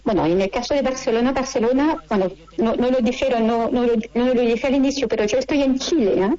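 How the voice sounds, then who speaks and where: A woman with a French accent explaining things as an interview guest, in a halting manner as she searches for words. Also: on the high side, warm tone, dull audio. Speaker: interview guest, course director.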